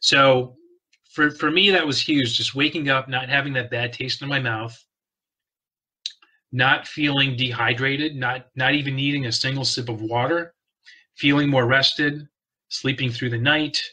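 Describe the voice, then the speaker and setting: A man's voice: medium pitch, steady tone, a little slow, sharp tone, poor audio. A man talking casually to the camera about health and sleep habits, earnest and often restarting his words. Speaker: wellness vlogger.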